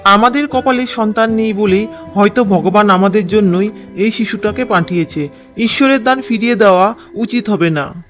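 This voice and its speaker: A man voicing a gentle, earnest village husband in a cartoon, with faint music behind. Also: high-pitched, moderate pace. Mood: tender. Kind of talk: cartoon dub acting.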